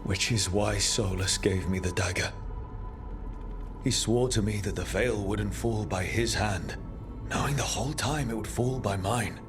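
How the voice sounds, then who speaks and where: An actor playing a steady, determined heroic leader in a fantasy game, speaking earnestly and resolutely. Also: male voice, fairly low pitch, unhurried, music in the background, close to an everyday voice. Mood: grim resolve. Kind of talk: dramatic video game acting.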